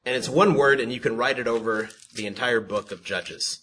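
A man teaching a Bible study in a conversational sermon style. He asks rhetorical questions, repeats himself, restarts words, and calls his own voice monotone. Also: mid-range voice, moderate pace, a little hiss or hum, poor audio.

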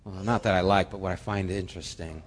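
A pastor giving a conversational sermon with humorous asides. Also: male voice, low-pitched, steady tone, quick pace, in a large hall.

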